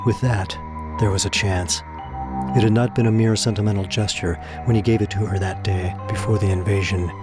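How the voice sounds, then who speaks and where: Low-voiced man narrating a dramatic story. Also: fairly even intonation, brisk, music in the background.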